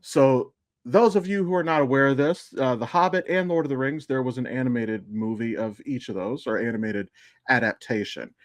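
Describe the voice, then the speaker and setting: A man's voice: medium pitch, fairly quick, dry recording. A man co-hosting a podcast in casual fan discussion, speaking informally with some swearing. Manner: informal, profane. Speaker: podcast co-host.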